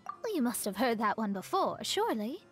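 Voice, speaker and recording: A wry young female adventurer, high in pitch and very expressive. She sounds knowing, composed and teasing, in fantasy game style with light music behind. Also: fairly quick. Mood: wry, amused. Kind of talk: video game voice acting.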